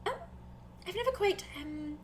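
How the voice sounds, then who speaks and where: A woman's voice: extremely high pitch, lively intonation, measured pace, slight background noise, voice pushed up. A woman voicing a polite, hesitant woman who speaks formally, as a figure in a tabletop game. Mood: unsure, curious. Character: polite, hesitant woman new to girlish fun.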